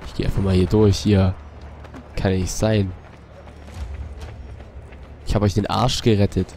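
A man's voice: fairly low pitch, little pitch movement, a little slow, mellow, slight background noise. A man with a cold, casually commenting on the game he is playing and muttering asides to himself. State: has a cold. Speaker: let's play host.

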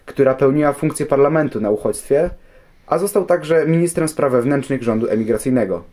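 A man with a mellow, soft-edged voice narrates history from a script. He speaks at a middle pitch, as a documentary expert answering questions. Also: animated, a little fast.

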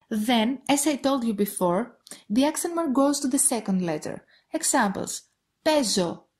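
Woman with a Greek accent, language tutor, slow careful delivery, higher voice, repeats each word. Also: a little mellow. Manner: repeats each example word slowly and deliberately.

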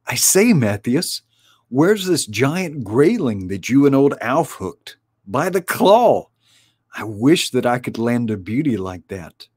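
A man's voice: mid-range voice, very animated, slow pace, a little mellow, breathy edge, dry recording, clean studio sound, full character voice. A man voicing a hearty female otter who is a champion fisher, talking in a breezy way. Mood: admiring.

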